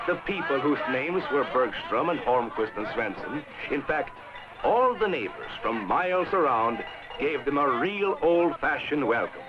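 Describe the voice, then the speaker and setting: Animated actor as a riverboat storyteller, old film. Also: male voice, mid-range voice, a little slow. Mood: dramatic storytelling. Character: towboat man narrating a river voyage to a boy. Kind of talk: scripted 1960s educational film narration. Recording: dull old film soundtrack. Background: music.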